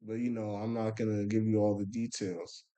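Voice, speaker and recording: A man's voice: on the low side, completely flat delivery, moderate pace, warm tone. A young man telling a rambling story in a laid-back, casual way, filling it with "bro" and "you know" and often trailing off into a mumble. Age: young adult. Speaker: vlogger.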